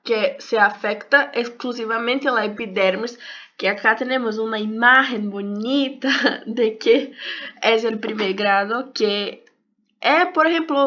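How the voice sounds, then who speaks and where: A woman's voice: fairly high pitch, pushed and bright. A young woman with a Brazilian Portuguese accent, a native Portuguese speaker giving a casual video lesson in a second language, hesitant and searching for words. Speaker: health sciences YouTuber. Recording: dull lo-fi sound.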